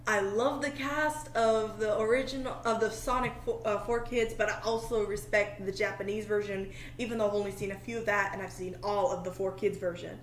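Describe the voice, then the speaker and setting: A woman's voice: medium pitch, steady tone, fairly quick, few pauses. A woman in casual fan chat on a podcast, informal and gushing as she talks and asks questions. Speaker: podcast speaker asking questions. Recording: roomy echo, noisy background.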